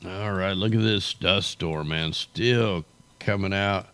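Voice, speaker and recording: A man's voice: deep voice, slow, a bit bright, smooth, dry recording. A tired man with a worn-out voice hosting a livestream, giving rambling, slangy, off-the-cuff commentary. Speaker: livestream host. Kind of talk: casual off-the-cuff weather commentary.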